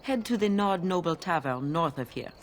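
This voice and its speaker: An actress playing a helpful townswoman giving measured, informative directions in a fantasy video game. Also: on the low side, expressive, slight background noise, close to an everyday voice. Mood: matter-of-fact.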